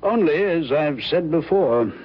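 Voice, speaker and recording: Husky, self-assured gentleman detective. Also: on the high side, expressive. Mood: knowing, teasing. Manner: knowing, deliberate. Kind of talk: old-time radio drama acting. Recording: muffled old radio broadcast.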